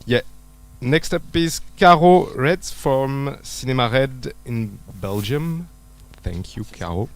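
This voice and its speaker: Man moderating, slow and clear. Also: medium pitch, expressive. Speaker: session moderator. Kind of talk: introducing speakers.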